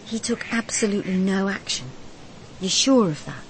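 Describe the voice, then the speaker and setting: A woman's voice: mid-range voice, animated, normal speed, slight background noise, close to an everyday voice, rough recording. An actress playing a composed, probing female detective in a first-person radio crime drama.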